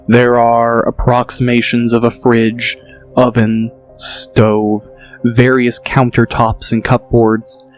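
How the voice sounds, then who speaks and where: Man narrating a fantasy audiobook. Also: medium pitch. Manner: steady, descriptive storytelling.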